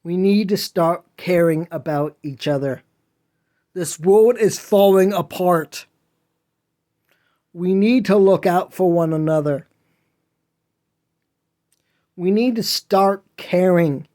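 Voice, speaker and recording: Slow, whiny-voiced man preaching earnestly. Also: high-pitched, warm tone. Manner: earnest, preachy, pleading with listeners. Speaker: podcast host. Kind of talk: impassioned solo monologue.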